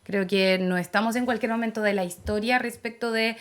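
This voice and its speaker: A woman's voice: a little high, fairly even intonation, a little fast, slight background noise. A young adult woman with a Chilean accent, giving reflective opinions in a conversational interview. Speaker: interview guest, political activist.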